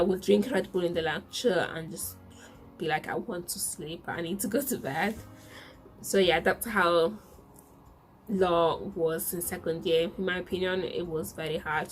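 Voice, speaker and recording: A woman's voice: lowish voice, a little mellow, a little hiss or hum. A woman in her twenties with an Italian accent, whose first language is Italian, telling a casual story to camera in a chatty way that repeats itself. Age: 20s. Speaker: vlogger.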